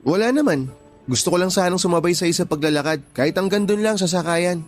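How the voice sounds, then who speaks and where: A man playing an earnest, friendly young coworker in gentle, conversational radio drama dialogue. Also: sits high, fast, faint music behind, lightly acted. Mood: chatty.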